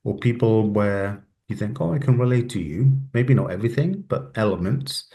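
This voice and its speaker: Niski, ciepły głos mężczyzny z walijskim akcentem. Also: male voice, lowish voice, animated, moderate pace, mellow. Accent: Welsh. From West Wales. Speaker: webinar panelist. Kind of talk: casual panel discussion.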